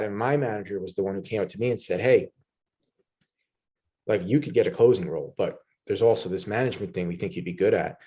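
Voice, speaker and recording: Low-voiced New York man. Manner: relaxed, many "you know" fillers and restarts. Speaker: podcast guest. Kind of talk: casual interview talk.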